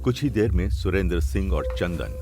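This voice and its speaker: A man with a deep voice narrates a scripted mystery tale. Music plays clearly underneath his storytelling. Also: animated, moderate pace. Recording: music behind the voice.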